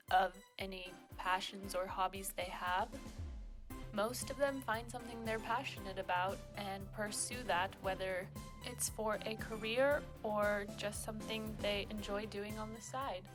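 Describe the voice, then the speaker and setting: A teenage girl giving measured, earnest scripted narration for a documentary. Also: mid-range voice, fairly bright tone. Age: teens. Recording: music bed under voice.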